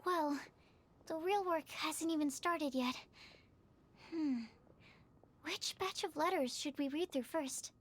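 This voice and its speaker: A woman's voice: sits high, takes it slowly, pauses often, slight background noise. A woman voicing an eager, earnest young girl in a video game. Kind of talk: scripted video game voice acting. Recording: game music under the voice. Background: game music.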